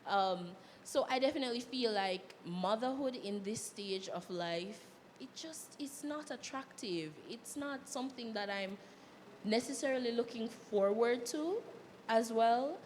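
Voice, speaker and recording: A hoarse 21-year-old woman student speaking frankly and bluntly on a live panel. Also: fairly high pitch, a little slow, darkish tone. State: hoarse, a bit sick. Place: event hall, live audience. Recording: hall reverb with faint noise.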